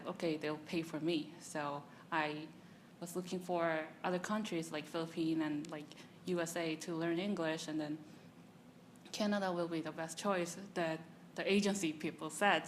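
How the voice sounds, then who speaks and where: A young woman, an international student answering interview questions in a chatty way in halting English, laughing easily. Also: deep voice, steady tone, a little hiss or hum. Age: young adult.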